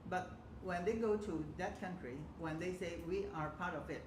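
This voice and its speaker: A man speaking as a panelist at a community forum, halting with many fillers and restarts. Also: high-pitched. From Hong Kong. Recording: echoey room with loud background noise.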